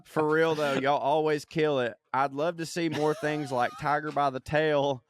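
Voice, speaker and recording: A man's voice: a little high, fairly even intonation, unhurried. A man co-hosting a podcast, reading a listener email aloud with profane, laughing asides. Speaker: podcast co-host.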